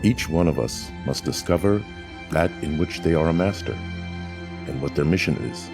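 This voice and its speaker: Man, 50s, very deep clear voice, slow dramatic narration, music underneath. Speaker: film narrator.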